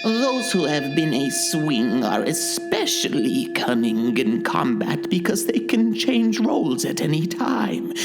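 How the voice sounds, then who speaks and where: A performer as a slow, swooping old wise guru. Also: medium pitch, full character voice, rough recording. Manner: slow, portentous instruction. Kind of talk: parody radio play acting. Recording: loud music under the voice.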